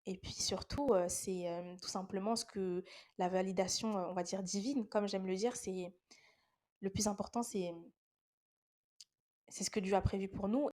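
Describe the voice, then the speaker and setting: A young woman hosts a faith podcast while she has a head cold. She speaks straight to her listeners in an earnest, encouraging tone, and her voice sits at an ordinary middle pitch. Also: steady tone, normal speed, warm tone. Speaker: podcast host. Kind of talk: casual faith talk podcast.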